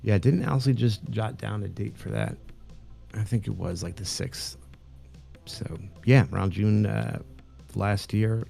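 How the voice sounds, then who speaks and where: A man with a gravelly, mellow low voice chats loosely on a live stream. He stops and restarts often, filling gaps with "um" and "uh". Faint music plays underneath. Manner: halting, many fillers and restarts. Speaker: streamer co-host. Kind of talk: casual live-stream interview.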